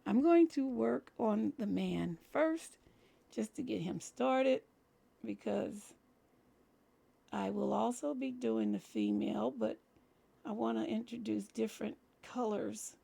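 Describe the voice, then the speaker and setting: A woman with a husky, gravelly voice talks through a craft project step by step. Her tone is dark and mellow, and a cold leaves it scratchy throughout. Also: fairly high pitch, big pitch swings, slow. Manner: chatty, talks through each step as she works. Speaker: crafting channel host. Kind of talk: casual crafting tutorial talk.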